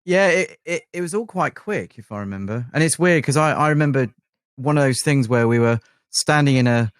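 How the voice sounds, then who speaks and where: A man's voice: mid-range voice, expressive, brisk, no echo, studio quality. An English man in his forties, a band member chatting casually and reminiscing as a guest.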